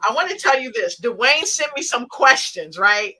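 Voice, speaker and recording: Upbeat lady hosting an online show, really bright crisp voice, on a video call. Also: on the high side, animated, normal speed. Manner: upbeat, enthusiastic. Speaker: talk show host and author.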